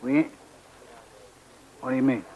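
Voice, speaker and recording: An actor playing a plain, steady older husband who works as a diver in a radio crime drama. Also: male voice, medium pitch, a little slow, phone-quality sound, natural voice. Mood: indignant, weary. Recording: noisy old radio recording.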